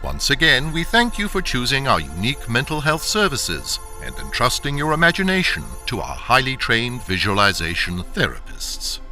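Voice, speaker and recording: A man's voice: medium pitch, wildly swooping pitch, moderate pace, hardly any pauses, pushed and bright, faint music behind, full character voice. A man playing a polite clinic technician giving a polished, scripted corporate announcement in an audio drama. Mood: courteous, salesy. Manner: polished, courteous corporate delivery. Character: polite, upbeat male clinic technician reciting a sign-off.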